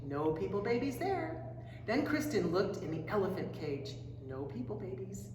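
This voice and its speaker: A woman reading a children's storybook aloud in an expressive, dramatic way, drawing out key phrases. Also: medium pitch, normal speed. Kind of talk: animated read-aloud storytelling. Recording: roomy echoing hall, distant mic, faint noise.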